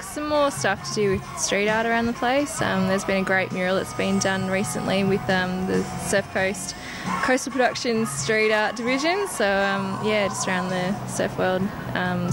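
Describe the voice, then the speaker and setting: A young Australian woman answers interview questions informally and a little tentatively, hedging as she goes. Her pitch is average, and music plays loudly behind her. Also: normal speed. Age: young adult. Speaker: interview guest, youth mayor of the day.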